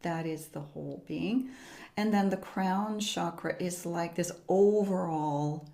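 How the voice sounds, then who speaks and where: A middle-aged woman voice teacher explaining her method as a podcast guest, in a calm, measured, unhurried way. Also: on the low side, vocal fry.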